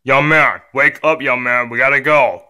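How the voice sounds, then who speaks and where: A man's voice: medium pitch, steady tone, normal speed, pushed and bright, pitched below the performer's own voice. A man playing a stern, weary father who scolds and admonishes his young son in a homemade story. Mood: calm, firm.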